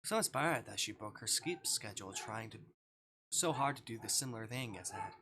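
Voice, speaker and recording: A man's voice: on the low side, animated, a little fast. A man livestreaming a game casually, reading visual novel dialogue aloud with offhand, murmured asides. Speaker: streamer. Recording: clear background noise.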